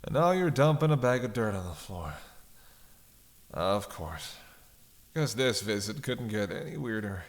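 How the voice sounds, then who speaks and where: Male actor as captive male vampire for audio roleplay: starving, sardonic, teasing menace, very slow halting delivery, lowish pitch. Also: very animated. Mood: wry, weakened. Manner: sardonic, halting, breathy sighs between phrases. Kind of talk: roleplay audio drama monologue.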